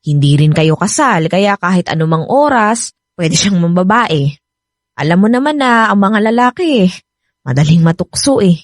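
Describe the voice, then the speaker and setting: A woman's voice: medium pitch, lively intonation, normal speed, no echo, studio quality, natural voice. An actress playing a chatty, frank, protective female cousin in a Filipino radio drama, with a Filipino accent. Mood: frank, cautioning.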